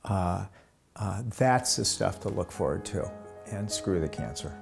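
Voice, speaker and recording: An older man, a patient, speaking in an emotional, halting way in a documentary interview. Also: deep voice, expressive, creaky, over loud music.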